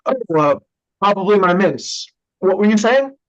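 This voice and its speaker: A man's voice: sits high, expressive, normal speed, dull audio. A man acting in a naturalistic play reading as an evasive, joking young brother who hides his drinking. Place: remote online staged reading.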